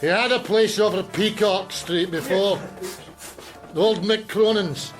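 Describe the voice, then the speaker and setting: A man playing a gruff, scornful working-class boxing trainer with a blunt manner in a crime radio drama. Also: high voice, fairly even intonation, slow pace, over music. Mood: gruff, wary. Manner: blunt, barking.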